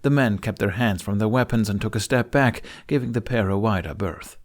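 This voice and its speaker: A man's voice: fairly low pitch, a little fast. A man reading scripted fantasy fiction as a dramatic audiobook narrator.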